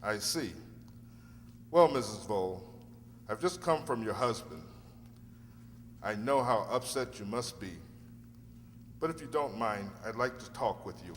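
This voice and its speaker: A man's voice: fairly low pitch, animated, unhurried, long pauses. A man in a radio play acting an earnest, courteous defence lawyer who speaks formally and politely. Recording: echoey hall, faint noisy hum.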